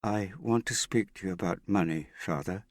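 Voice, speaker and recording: A male narrator plays a worried young daughter, earnest and stubborn. The voice is husky and lively in pitch, with a serious, plain-spoken insistence suited to audiobook dialogue. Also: moderate pace, no room sound, clean studio sound. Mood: distressed.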